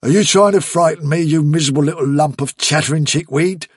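A man's voice: fairly high pitch, fairly quick, breathy edge, dry recording, studio quality, voice pushed up. A man voicing a blustering, scornful male rabbit in a storybook, scolding with sarcastic, emphatic delivery. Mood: angry threat. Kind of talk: storybook character dialogue.

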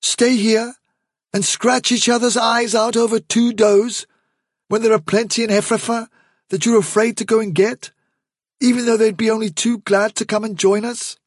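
A man voicing a young male rabbit leader who reasons calmly and persuasively with the others. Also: sits high, moderate pace, breathy edge, no room sound, pitched above the performer's own voice. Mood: earnest. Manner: earnest, reasoning, steady.

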